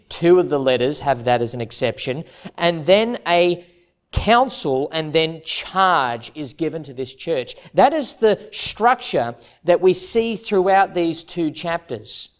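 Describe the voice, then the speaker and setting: A man preaching an expository sermon, measured and earnest, reading scripture with emphasis. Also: a little high, lively intonation, smooth. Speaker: pastor. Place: church stage in front of a plain backdrop.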